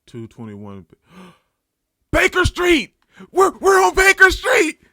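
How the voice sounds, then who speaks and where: A man giving an excited, casual reaction commentary, exclamatory and slangy as he hosts. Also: very high voice, slow, dry recording, studio quality. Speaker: anime reaction host.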